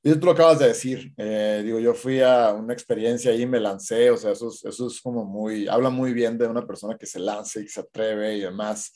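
A man's voice: lowish voice, fairly even intonation, a little fast, few pauses, darkish tone. Man explaining with many restarts. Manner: hesitant, many restarts and fillers. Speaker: certified cold-exposure instructor. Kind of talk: conversational interview answer.

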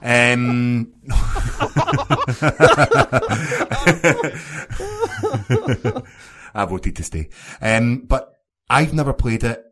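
A man's voice: lowish voice, fairly bright tone. A man co-hosting a podcast, chatting casually about video games. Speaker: podcast co-host. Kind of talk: casual gaming podcast chat.

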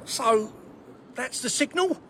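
An actor playing a nervy, miserable, put-upon police sergeant in a radio comedy, hesitant and blunt. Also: male voice, very high-pitched, lively intonation, takes it slowly, music in the background, exaggerated voice. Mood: panicky. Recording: clear background noise and ambience.

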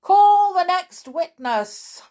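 A woman's voice: extremely high pitch, sing-song, very slow, darkish tone, voice pushed up, full character voice. A woman voicing an imperious, impatient king who presides as judge, with a commanding, blustering manner.

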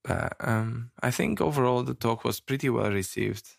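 Guy from romania cohosting, rough gravelly low voice, hesitant with lots of ums, dry sound. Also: dry recording. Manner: halting, many fillers and restarts, laughs often. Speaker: podcast co-host. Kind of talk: casual chat.

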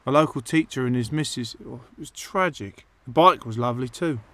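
An actor playing a dim, self-interested, stubborn bloke, obtuse and colloquial, in a dark comedy audio drama. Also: male voice, medium pitch, brisk, dry recording, close to an everyday voice. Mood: matter-of-fact, stubborn. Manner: obtuse, literal-minded, colloquial.

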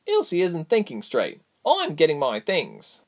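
A man's voice: on the high side, wildly swooping pitch, unhurried, voice pushed up, full character voice. A man voicing a headstrong, anxious young warrior woman, urgent and insistent, in a storybook reading. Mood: determined.

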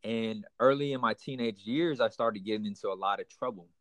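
A man's voice: lowish voice, a little fast, dry recording. A young man from Houston, Texas, earnestly telling his life story as an interview guest, with many fillers and restarts. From United States, raised in Houston, Texas. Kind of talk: personal testimony in an interview.

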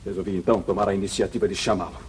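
Arrogant Brazilian villain, dark husky tone, theatrical menace, smooth-talking schemer, old 1950s radio recording. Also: male voice, low voice, steady tone, very fast. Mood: cold, gloating. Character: scheming male town boss, secret bandit chief, arrogant.

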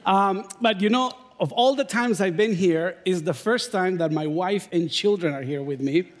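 High-voiced Honduran man preaching. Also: normal speed. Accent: Honduran Spanish. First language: Spanish. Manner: relaxed storytelling, self-deprecating humor. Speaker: guest preacher. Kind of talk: sermon with personal stories.

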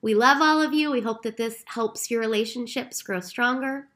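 Calm, explaining woman podcast host. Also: fairly high pitch, moderate pace. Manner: calm, explanatory, recaps steps. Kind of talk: casual podcast chat.

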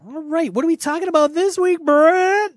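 A man's voice: very high voice, moderate pace, dry recording, studio quality, soft background music. A man co-hosting a casual tabletop gaming podcast, telling stories in a playful, teasing way. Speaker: podcast co-host.

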